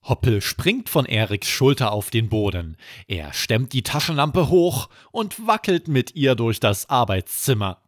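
A man reading a scripted children's bedtime story as narrator, with expressive storytelling. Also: mid-range voice, measured pace, hardly any pauses, no echo, studio quality.

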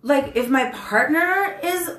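Woman chatting on a podcast, gets loud n animated, roomy echo. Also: sits high, takes it slowly. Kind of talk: casual chat. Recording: echoey room.

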